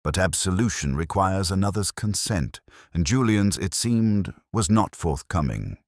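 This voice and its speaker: A man playing a weary, brooding middle-aged police inspector, narrating a scripted detective story in a measured, reflective, literary manner. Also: sits low, expressive, no room sound, studio quality. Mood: somber, reflective.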